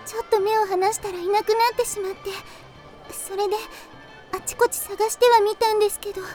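A woman playing a gentle, apologetic teenage girl in an anime audio drama, speaking politely. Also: very high-pitched, steady tone, brisk, faint music behind. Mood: worried. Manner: soft-spoken polite phrasing.

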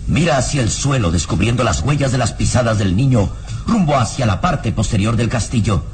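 A man's voice: medium pitch, expressive, brisk, darkish tone. A man narrating a scripted radio serial in a grave, suspenseful, dramatic manner.

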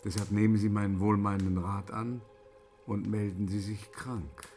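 An actor as a blustering, deep-voiced opera director. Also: male voice, little pitch movement, moderate pace, a little mellow, soft background music, natural voice. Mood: grave. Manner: authoritative, volatile. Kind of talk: German radio play acting.

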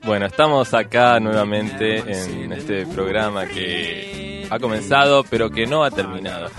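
A man co-hosts a radio comedy show in a fairly low voice. His presenting is deadpan and rambling, over guitar music. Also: a little slow.

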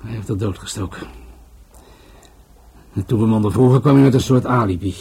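An actor playing a measured, pensive police detective in a radio drama, turning phrases over as he questions. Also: on the low side, gravelly, a little mellow, slightly rough, full character voice. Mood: summing up. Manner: ruminative, repeats phrases slowly.

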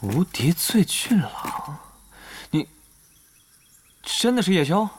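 语调起伏很大、爱较真的年轻男玩家. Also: male voice, a little high, sing-song, slow, a little hiss or hum. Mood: teasing, doubtful. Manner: wordy, questioning, sardonic. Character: cautious, nitpicking young male gamer, cheeky and sarcastic. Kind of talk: Mandarin audio drama acting.

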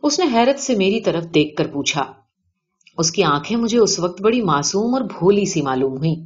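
A woman's voice: mid-range voice, big pitch swings. A woman reading a literary short story aloud as scripted narration. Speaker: audiobook reader. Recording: dull lo-fi audio.

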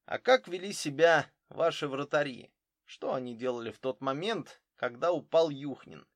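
A man's voice: a little high, animated, no room sound, clean studio sound. Man reading as courteous, probing detective. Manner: brisk, precise questioning. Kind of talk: audiobook character dialogue.

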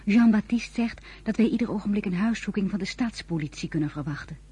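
Brief: young French wife and diarist, mellow, extremely breathy, gentle with quiet worry, radio drama. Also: female voice, mid-range voice, brisk. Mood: hushed narration. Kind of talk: radio play acting, diary narration.

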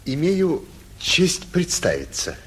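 Husky, fawning postmaster, wildly swooping. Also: male voice, on the high side, huge pitch swings, takes it slowly, heavily acted. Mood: deferential. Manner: obsequious, clipped agreement. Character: fawning, eager provincial postmaster, deferential official. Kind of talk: classic Russian stage comedy acting. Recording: muffled, raspy 1949 radio theatre recording.

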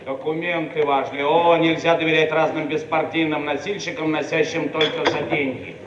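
An actor playing a pompous, demanding Soviet bureaucrat in a theatrical radio play, declaiming with indignant self-importance. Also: male voice, a little high, level tone, moderate pace, muffled recording, lightly acted. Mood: condescending. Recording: echoey noisy archival radio-play recording, distant.

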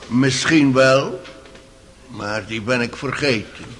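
An actor playing a dignified, stubborn old house servant in a radio drama, plain-spoken, folksy and respectful. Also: male voice, mid-range voice, takes it slowly, a bit bright. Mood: calm, devoted. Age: older.

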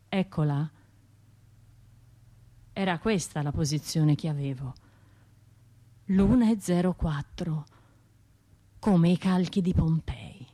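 A woman's voice: lowish voice, lightly acted. An actress playing a traumatised, self-reproaching woman survivor in a radio drama monologue, speaking in fragmented, obsessively repeated phrases. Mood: haunted, confiding. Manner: fragmented, repetitive, obsessive delivery with hushed and surging phrases.

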